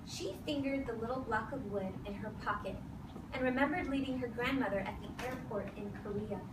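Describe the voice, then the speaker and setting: A woman teaching and reading aloud to young children, giving firm short directions and deliberately repeating key phrases. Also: a little high. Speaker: elementary school teacher. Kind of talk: classroom read-aloud and instruction to young children. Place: classroom full of seated children. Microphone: distant camera across the room. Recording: echoey, noisy classroom recorded from far off.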